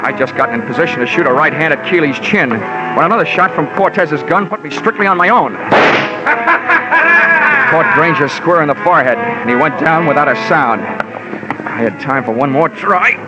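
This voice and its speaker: A man's voice: fairly high pitch, animated, moderate pace, over loud music, lightly acted. An actor playing a wisecracking insurance investigator, narrating his case in clipped, slangy 1940s hard-boiled radio style. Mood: urgent narration. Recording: muffled vintage radio recording.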